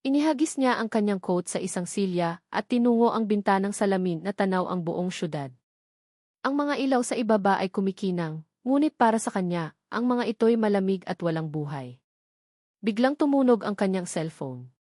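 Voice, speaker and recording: A woman's voice: mid-range voice, expressive, moderate pace, a little mellow, no echo, clean studio sound. A woman narrating a scripted love story in a dramatic storytelling style. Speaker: narrator of audio love stories.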